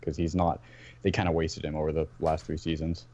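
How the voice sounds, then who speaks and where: A man chatting casually as a fan, haltingly rephrasing his thoughts as he goes. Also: very deep voice, flat delivery, dark tone. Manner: halting, rephrasing mid-sentence. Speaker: podcast guest.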